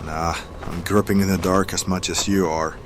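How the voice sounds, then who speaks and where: An actor playing a steady, curious leader of hirelings in an audio drama. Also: male voice, low voice, normal speed, a little hiss or hum, close to an everyday voice.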